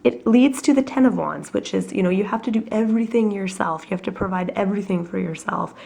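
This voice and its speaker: A woman with a cold giving a chatty, reflective weekly tarot reading at home, her voice husky and her talk full of fillers. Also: medium pitch, fast, dark tone. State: has a cold, coughing. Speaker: tarot reader.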